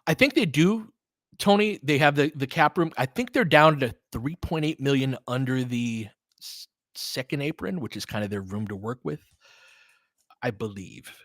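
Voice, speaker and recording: A man's voice: mid-range voice, lively intonation, normal speed, a bit bright, no echo, studio quality. A man doing casual live basketball play-by-play and analysis, chatting informally with listeners and urging the players on like a fan. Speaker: host of a basketball film-room audio stream.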